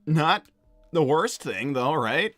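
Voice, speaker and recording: Casting: male actor as young hedgehog hero, highish bright voice, wisecracking and casual, stumbling over words, cartoon livestream, dry close sound. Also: very animated, slow pace, no room sound, faint music behind, heavily acted. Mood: coaxing. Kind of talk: improvised in-character livestream roleplay, reading out messages.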